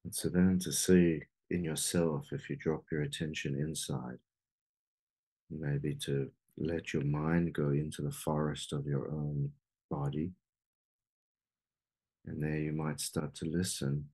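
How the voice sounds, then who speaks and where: A man leading a guided meditation in slow, unhurried phrases with long pauses. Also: a bass voice, level tone, warm tone, breathy edge.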